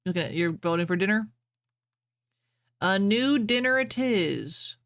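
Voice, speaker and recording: A woman's voice: mid-range voice, expressive, unhurried, fairly bright tone, no room sound, clean studio sound. A woman livestreamer chatting casually with viewers and reading fan fiction aloud.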